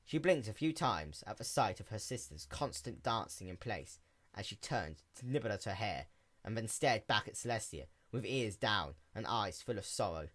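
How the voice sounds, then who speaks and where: Male narrator for dramatic fiction reading. Very lively intonation, bright, forceful tone. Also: fairly low pitch, moderate pace.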